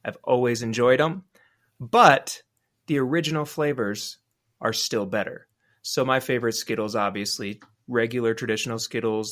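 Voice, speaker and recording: A man's voice: medium pitch, fairly bright tone. A man chatting casually as a podcast panelist, informal and opinionated. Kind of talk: casual chat about games and candy.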